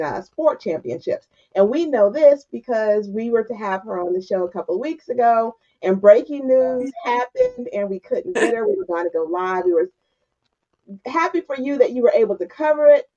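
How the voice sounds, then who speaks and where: A woman co-hosting an interview podcast, introducing a guest and asking questions with quick asides. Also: medium pitch, mellow. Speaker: podcast co-host. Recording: dull, muffled audio.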